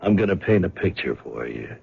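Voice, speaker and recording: An actor playing a bullying gangster who poses as a police officer, in a 1970s radio drama, giving curt orders. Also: male voice, low-pitched, very animated, brisk, vocal fry, breathy edge, heavily acted. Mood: menacing. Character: menacing male gangster posing as cop. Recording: dull old radio broadcast.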